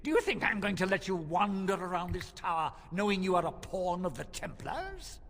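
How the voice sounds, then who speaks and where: A mad mage villain from a fantasy game, his voice swinging wildly from high to low with a gravelly creak. He is condescending and melodramatic, gloating with mock courtesy in a cavernous reverb. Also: sing-song, normal speed, a bit bright, exaggerated voice. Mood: scornful. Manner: pompous, mock-polite, melodramatic. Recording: long hall-like reverb.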